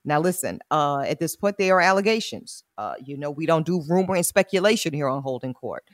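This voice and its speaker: A woman attorney hosting a podcast, chatting frankly and with slang, laughing often, with a big booming voice. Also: animated, brisk, no echo, clean studio sound. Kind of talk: casual podcast chat.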